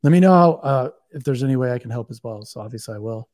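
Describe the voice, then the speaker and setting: A man's voice: mid-range voice, expressive, quick pace, a little mellow. A man hosting a podcast in a laid-back, slangy, encouraging way. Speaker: podcast host. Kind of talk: casual podcast chat.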